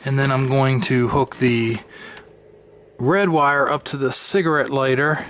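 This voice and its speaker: Male DIY vlogger, mid-pitched, matter-of-fact, casual, recorded in a car with noise and clicks. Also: steady tone, unhurried, a bit bright. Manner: matter-of-fact, thinking aloud while working. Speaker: vlogger installing a car CB radio. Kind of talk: casual hands-on narration. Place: inside a car. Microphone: handheld camera microphone. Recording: clear background noise, clicks.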